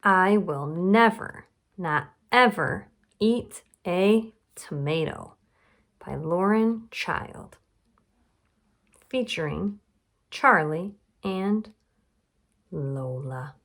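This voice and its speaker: Woman, children's educator. Unhurried storybook read-aloud, teacherly, with questions and pauses. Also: on the low side, lively intonation, a bit bright. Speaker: nutrition educator reading to children.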